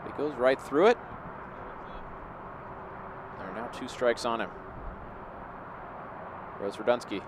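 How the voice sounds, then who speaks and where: A man's voice: mid-range voice, takes it slowly, long pauses. A man giving live play-by-play baseball commentary as the game unfolds. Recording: clear outdoor background noise.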